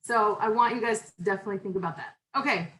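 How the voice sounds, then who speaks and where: A woman instructor with a loud voice, talking informally through a syllabus over a video call, with many fillers and restarts. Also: mid-range voice, quick pace, rough recording. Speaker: university instructor. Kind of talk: online class welcome and syllabus talk.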